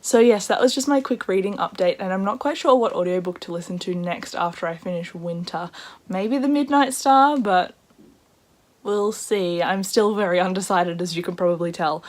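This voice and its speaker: A woman with a croaky voice, chatting casually in a book vlog with excitable asides. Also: mid-range voice, normal speed. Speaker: book vlogger.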